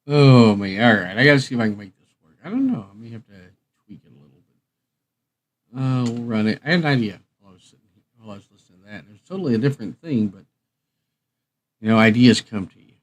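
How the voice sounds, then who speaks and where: Man casually thinking out loud on stream. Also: mid-range voice, lively intonation, fast, long pauses. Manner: muttering to himself while choosing tracks. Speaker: live stream host and music creator. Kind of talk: casual rambling stream chat.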